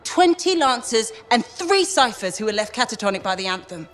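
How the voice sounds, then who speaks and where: An actress playing a weary, haunted woman who supports a crew of fighters in a video game drama, speaking in a measured, wistful way. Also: a little high, big pitch swings, a bit bright. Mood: weary, resigned.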